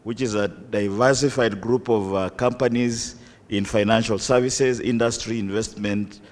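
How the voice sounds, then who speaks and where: A man with a Kenyan accent chairing a conference session, hesitant with many fillers and jokey asides, on a stage microphone in a conference hall. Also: on the low side. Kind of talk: conference moderation. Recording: long hall reverb.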